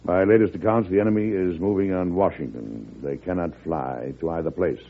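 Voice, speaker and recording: Folksy, resolute president for vintage radio drama; deep husky voice, tinny old broadcast sound. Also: male voice, fairly quick. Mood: grave, composed. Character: weary, resolute wartime American president, plain-spoken.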